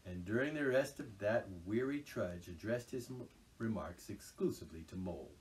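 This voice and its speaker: A man reading a storybook aloud in an expressive, theatrical way. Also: on the low side, normal speed, far from the mic, slight background noise.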